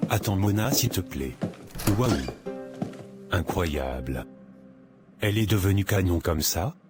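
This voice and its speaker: Man acting a low-voiced, insistent ex-husband. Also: lively intonation, measured pace, over music. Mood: amazed, admiring. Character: smitten, pushy divorced man wanting his wife back.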